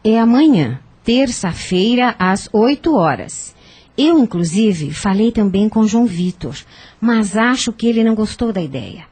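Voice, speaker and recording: A Brazilian woman playing a kindly, devout, motherly lady in an audio drama, gentle and earnest as she gives guidance. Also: mid-range voice, lively intonation, a little slow, breathy edge. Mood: calm, reassuring.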